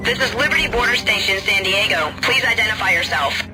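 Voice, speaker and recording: An actor plays an official male station controller in a space game. He talks very briskly in a high voice over radio. Loud music plays behind. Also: close to an everyday voice. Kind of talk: game cutscene acting.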